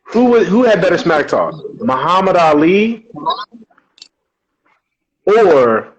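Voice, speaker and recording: An animated man with a lively, rising-and-falling voice who keeps putting questions to the others and laughs loudly. He sounds a bit high-pitched and eager. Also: takes it slowly, muffled recording, a little hiss or hum. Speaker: podcast co-host asking questions. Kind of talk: casual chat.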